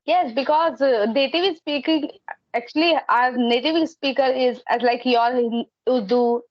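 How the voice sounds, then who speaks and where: A woman with a high voice, whose first language is Hindi, talks about learning English in a hesitant, searching way. Her voice is close and dry, with a dull lo-fi tone. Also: measured pace, no echo. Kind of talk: casual conversation practice.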